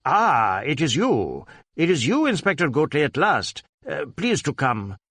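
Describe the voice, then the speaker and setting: A man's voice: a little high, huge pitch swings, unhurried, a bit bright, husky, dry recording, clean studio sound, full character voice. A man voicing a wealthy businessman in an audiobook, urgent, desperate and commanding, in clipped phrases. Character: agitated wealthy Indian businessman, imperious and desperate.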